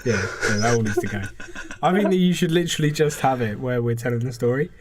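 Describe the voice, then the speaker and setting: A man's voice: mid-range voice, fast, a crowd in the background. A man of twenty-one with a British accent, bantering casually and comically as a podcast host. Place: small podcast recording booth.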